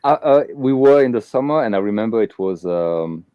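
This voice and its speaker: A man's voice: fairly low pitch, animated, brisk, warm tone, smooth, no room sound, muffled recording. A man from Belgium hosting a casual live interview, talking with many fillers and restarts. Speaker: host.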